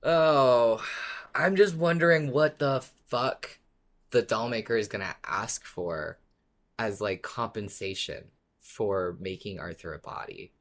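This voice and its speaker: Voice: mid-range voice, takes it slowly. A podcast co-host chatting casually about a favourite show in a giggly manner, often laughing while talking. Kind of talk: casual fan discussion chat.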